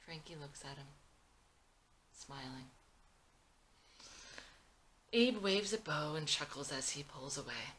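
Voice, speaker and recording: A woman reads screenplay action lines as scripted narration. Her voice is a bit low for a woman, with a bright, crisp edge. The recording carries faint background noise. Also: lively intonation, slow, long pauses, low-quality recording. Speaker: screenwriter reading own script.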